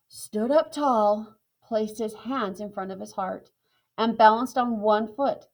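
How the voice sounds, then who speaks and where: Female storybook narrator, unhurried, slightly high-pitched. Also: darkish tone. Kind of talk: children's storybook reading.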